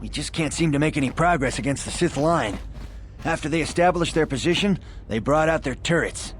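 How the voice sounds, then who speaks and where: A man's voice: fairly high pitch, brisk, slight background noise, natural voice. A man playing an earnest soldier under pressure on the front line in a video game. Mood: worried, earnest.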